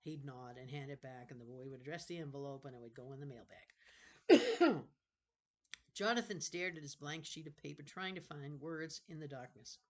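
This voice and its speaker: A woman's voice: deep voice, lively intonation, normal speed. An older woman reads a novel for school-age children. Her storytelling slips easily into informal, rambling asides, where she stops and corrects herself as she goes. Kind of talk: read-aloud storytelling with chatty asides.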